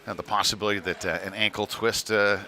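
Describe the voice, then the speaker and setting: A man's voice: on the low side, fairly even intonation. Man calling hockey over rink noise. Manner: halting, pausing mid-phrase. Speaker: play-by-play hockey commentator. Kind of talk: live sports commentary. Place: indoor ice rink. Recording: noisy arena, live stream.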